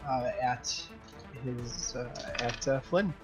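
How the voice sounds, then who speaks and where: A man's voice: medium pitch, takes it slowly, music in the background. A man playing a tabletop roleplaying game, chatting casually and hesitantly as he thinks aloud. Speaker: player.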